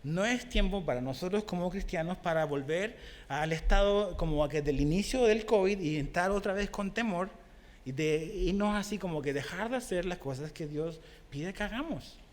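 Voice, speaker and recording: A man's voice: high-pitched, normal speed, darkish tone, long reverb tail. A young man from Chile with a Chilean accent touched by Mexican Spanish, preaching a conversational sermon as pastor and often asking the congregation questions. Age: young adult. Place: church hall.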